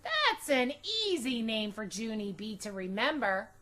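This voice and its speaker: A woman voicing a patient, gently firm mother in a children's storybook, with a measured, reassuring way of talking. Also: a little high, big pitch swings, heavily acted.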